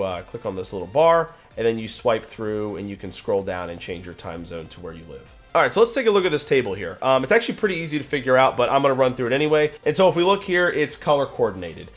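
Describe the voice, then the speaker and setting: A man presenting a software tutorial, upbeat and chatty, his voice a little nasal from being sick. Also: mid-range voice. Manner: upbeat, chatty, casual fillers. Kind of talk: screen-recorded software tutorial.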